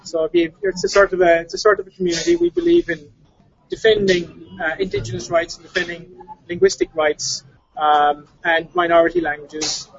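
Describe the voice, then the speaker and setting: Gravelly man outdoors, lo-fi line. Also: a little high, slight background noise. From Ireland. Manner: halting, self-correcting speech. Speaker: guest commentator. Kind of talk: remote interview talk. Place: outdoors in front of a hotel. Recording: dull lo-fi sound.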